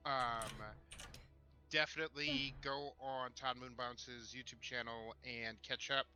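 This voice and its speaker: Male co-host, very bright sharp voice at middling pitch, informal halting chat, faint music underneath. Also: flat delivery, highs cut off. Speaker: co-host of a tabletop role-playing talk stream. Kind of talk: casual livestream chat.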